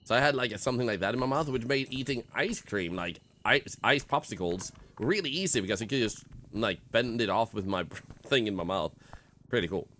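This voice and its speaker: A man's voice: medium pitch, lively intonation, brisk, a bit bright, slight background noise. A young man with a Swedish accent, chatting candidly on a game stream in a reflective, rambling way full of fillers. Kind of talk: casual gaming stream chat.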